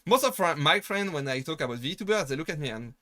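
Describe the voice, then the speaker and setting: A man with a French accent, chatting casually on a live stream and rambling through halting restarts and self-deprecating asides. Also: a little high, lively intonation, pushed and bright, no room sound, clean studio sound. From France. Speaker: VTuber streamer.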